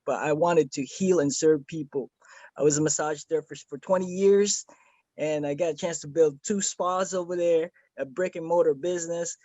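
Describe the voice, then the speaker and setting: High-voiced earnest man on a video call. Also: darkish tone, no echo. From Philippines. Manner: earnest, rambling with many fillers and restarts. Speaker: guest giving a testimonial. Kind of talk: casual video-call chat.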